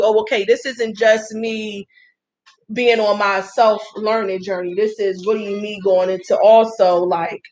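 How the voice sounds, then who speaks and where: Host, woman, 30s: chatty and folksy, higher-pitched voice, talks straight to her viewers. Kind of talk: casual live-stream talk.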